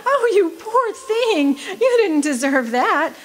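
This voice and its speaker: A woman's voice: very high voice, very animated, slow pace, full character voice. A woman acting in a radio drama as a feisty, bewildered gold-rush singer, with a theatrical, plaintive manner. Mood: concerned. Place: live performance at a community venue.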